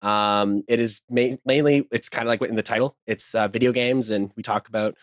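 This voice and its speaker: A man's voice: on the low side, steady tone, brisk, dry recording. Casual man, dry close sound. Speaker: podcast guest, voice actor. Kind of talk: casual chat.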